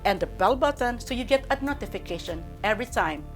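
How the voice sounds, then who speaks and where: A woman's voice: on the high side, lively intonation, fast, soft background music. Woman hosting an interview. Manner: formal, announcer-like introductions with slips. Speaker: interview show host.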